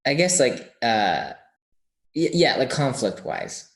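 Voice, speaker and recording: A man hosting a casual interview, speaking informally and in halting phrases. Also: mid-range voice, very animated. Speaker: podcast host interviewing a guest.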